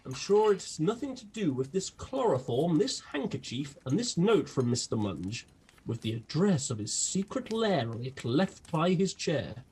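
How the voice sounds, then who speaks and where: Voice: medium pitch, big pitch swings, measured pace, a little mellow, slightly rough, exaggerated voice, poor audio. A performer playing an eccentric, gluttonous, unhinged detective in a comic radio play, grandiloquent, with a British accent. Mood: breezy. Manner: grandiloquent, theatrical.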